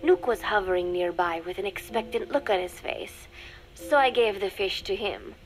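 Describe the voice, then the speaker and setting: Woman acting measured, scornful young female spy over music. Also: mid-range voice, close to an everyday voice, rough recording. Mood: measured, dry. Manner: measured, confiding, dryly scornful. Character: young female undercover spy, secretly cold and disdainful, dictating diary. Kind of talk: scripted dramatic diary narration for a game.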